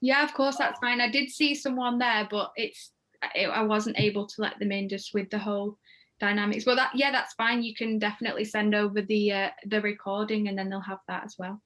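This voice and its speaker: A woman's voice: mid-range voice, fairly even intonation, brisk, a bit bright. A British woman casually hosting an online group discussion in an unscripted, conversational way. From United Kingdom. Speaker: host of an online table read. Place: online group video call.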